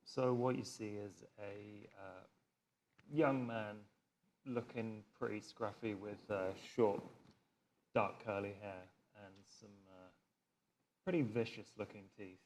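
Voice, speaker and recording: A man playing in a live tabletop game, describing things casually in a halting, informal way. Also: fairly low pitch, fairly even intonation, darkish tone, slight background noise, poor audio. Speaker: player in a tabletop roleplaying game. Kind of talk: casual improvised character description.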